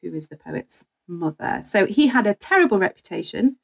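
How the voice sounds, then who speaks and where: Creaky-voiced woman telling history. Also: mid-range voice, big pitch swings, normal speed. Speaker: guest author and historian. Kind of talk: conversational interview, telling history.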